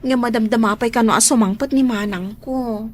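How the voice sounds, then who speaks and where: A woman's voice: on the high side, normal speed, a little mellow, faint music behind, close to an everyday voice. A woman acting as an apologetic, respectful younger sister in an audio drama, with an Ilocano accent. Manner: apologetic, deferential.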